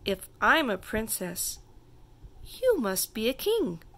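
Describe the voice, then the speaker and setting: A woman's voice: fairly high pitch, big pitch swings, takes it slowly, fairly bright tone, exaggerated voice. A woman voicing a bossy, dramatic little girl princess who makes grand declarations in a children's storybook. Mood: grand, urgent. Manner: grand, self-important declarations.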